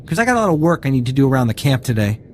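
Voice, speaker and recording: An actor playing an aggrieved, shifty informant in a video game, whining and wheedling for payment. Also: male voice, medium pitch, big pitch swings, racing, slight background noise, natural voice. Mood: grudging. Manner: whiny, indignant, wheedling.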